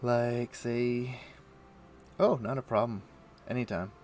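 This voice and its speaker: A man's voice: medium pitch, steady tone, slow pace, a little mellow. A man hosting a casual gaming livestream, rambling and thinking aloud with mild, old-fashioned turns of phrase. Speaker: livestream host. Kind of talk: casual gaming stream chat.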